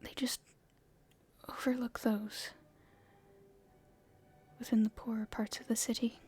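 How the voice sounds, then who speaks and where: A woman playing an earnest, hopeful young princess in a soft, halting, breathy whisper for an ASMR roleplay. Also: on the high side, little pitch movement. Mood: thoughtful, hopeful. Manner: halting, soft-spoken, thinking aloud.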